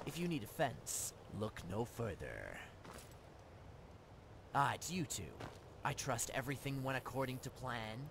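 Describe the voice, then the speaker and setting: A courteous, shrewd male fence from a video game, played by a man. His pitch swings in a lively way at a medium register. Noticeable noise runs behind him. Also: measured pace, gravelly, pauses often. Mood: smooth, businesslike. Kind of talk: video game character dialogue.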